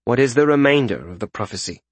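A man's voice: mid-range voice, animated, fast talker, breathy edge, no room sound. A man voicing a cool, calculating warrior negotiator in a fantasy audiobook, formal and controlled. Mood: cautious, questioning.

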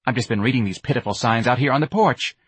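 A man voicing a blunt, intense young woman activist who talks in a flat, direct, slightly hostile way. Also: medium pitch, fast, slightly rough, no echo. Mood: exasperated. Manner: brusque, nagging.

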